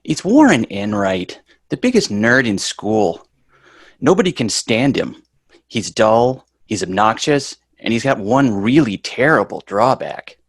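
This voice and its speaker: A man's voice: fairly low pitch, very animated, measured pace, husky, dry recording, full character voice. A man playing a reluctant, wisecracking young son in a sitcom table read. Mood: dismissive. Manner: sarcastic, dry wisecracks.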